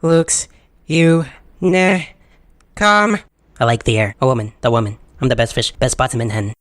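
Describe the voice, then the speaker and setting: A man voicing an excitable robot in a video game parody, babbling in a disjointed, repetitive way. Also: mid-range voice, moderate pace, no echo, lightly acted. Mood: excited babbling. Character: excitable, babbling male robot sphere speaking nonsense.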